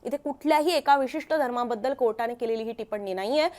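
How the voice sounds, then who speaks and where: A woman with a distinctly high voice and a Maharashtrian accent presents the news. She speaks as an explainer, stressing and repeating the key points as she goes. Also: fast talker, a little mellow.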